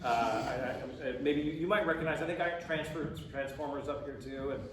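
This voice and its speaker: A man's voice: fairly high pitch, a little fast, fairly bright tone. A man directing a voice acting session, speaking casually to participants in a large echoey room. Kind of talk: casual workshop coaching. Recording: echoey room, very distant mic, noisy background.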